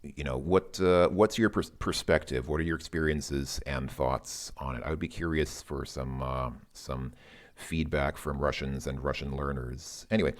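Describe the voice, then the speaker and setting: Deep-voiced man musing aloud. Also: steady tone, normal speed. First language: English. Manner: musing, loose, repeats words while thinking. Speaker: language learner vlogger. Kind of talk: casual spoken monologue.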